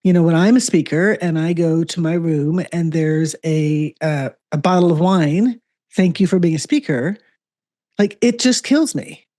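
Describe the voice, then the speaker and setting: A woman's voice: low voice, measured pace, warm tone. A woman hosting a conversational interview, affirming and reflective, building her thoughts into questions. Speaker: interview host.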